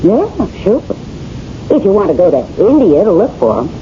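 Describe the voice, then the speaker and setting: A woman's voice: medium pitch, big pitch swings, normal speed, dark tone, lightly acted. An actor playing a brassy, flirtatious wife fed up with her husband, in slangy, wisecracking 1970s radio drama style, played by a woman. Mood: sardonic, flirtatious. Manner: slangy, wisecracking, ungrammatical delivery. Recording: muffled, hissy old radio broadcast recording.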